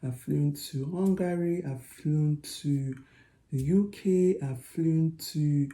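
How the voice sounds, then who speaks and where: A young Nigerian woman with a Nigerian accent, telling a story to her vlog viewers in a chatty, animated way. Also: low-pitched, slow pace, roomy sound. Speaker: vlogger. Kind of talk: storytelling to camera.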